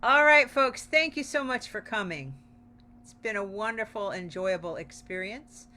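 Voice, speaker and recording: Bright, emphatic woman on a noisy call. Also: mid-range voice, animated, normal speed. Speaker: theater company representative, co-host. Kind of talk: casual promotional talk-back chat. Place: online video call.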